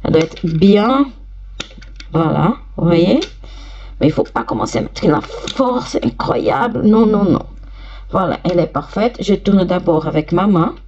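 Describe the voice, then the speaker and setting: A woman with a cold gives a slow, careful demonstration, explaining each step as she works. Her voice is stuffy, low-toned and gravelly. The sound is dull and lo-fi. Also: animated, darkish tone. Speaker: sewing machine instructor.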